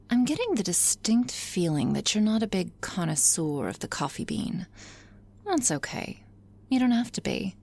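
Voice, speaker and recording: Casting: actress as flirty, sardonic female boss for ASMR roleplay; gravelly, unhurried, intimate, dips into whispers. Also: medium pitch, darkish tone, heavily acted. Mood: playful, flirtatious. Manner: playful, sardonic, drifting into breathy whispers. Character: teasing, cynical, flirtatious woman boss on coffee date.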